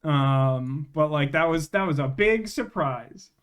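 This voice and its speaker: A man's voice: fairly high pitch, animated, slow, smooth. A man hosting a gaming livestream, chatting casually to viewers and thinking aloud in a rambling way. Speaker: livestream host, gamer.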